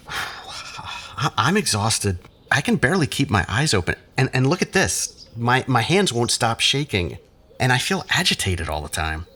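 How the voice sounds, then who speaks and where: A man's voice: medium pitch, sing-song, measured pace, bright, forceful tone, heavily acted. A man acting in an audio drama as an exhausted, agitated man in withdrawal, stammering and sighing, pleading and bitter. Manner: stammering, sighing, self-pitying. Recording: faint background noise.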